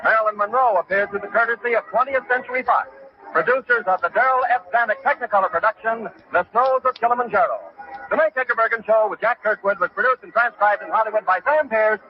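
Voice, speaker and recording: An American man working as a radio announcer and pitchman in a polished 1950s announcing style. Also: quick pace, a crowd in the background. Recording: noisy, dull old radio broadcast.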